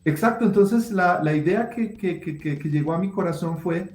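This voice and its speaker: A middle-aged writer answering interview questions passionately, with many restarts. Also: male voice, high-pitched, normal speed, darkish tone. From Colombia. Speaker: writer and guest. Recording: roomy echo.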